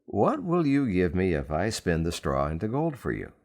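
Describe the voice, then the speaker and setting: A man voicing a sly, gleeful, bargaining little goblin-like man in a storybook, with drawn-out, insistent, theatrical delivery. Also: deep voice, wildly swooping pitch, creaky, a little mellow, heavily acted.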